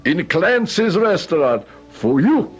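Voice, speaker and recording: High-voiced, excitable old shopkeeper. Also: male voice, slightly rough, soft background music, exaggerated voice. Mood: eager, confiding. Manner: effusive, halting, repeats himself, pleading. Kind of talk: old-time radio drama acting. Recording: muffled vintage radio drama recording.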